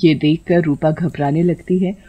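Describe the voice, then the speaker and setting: A woman's voice: sits low, fairly even intonation, moderate pace, dark tone, muffled recording. A woman storyteller reading scripted horror narration.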